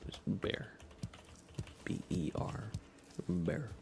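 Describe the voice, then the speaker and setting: A man streaming ASMR in a soft, breathy whisper, chatting casually and gently while he plays a game. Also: sits low, animated, very slow, background noise. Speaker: livestream host. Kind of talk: ASMR livestream, casual chat while gaming.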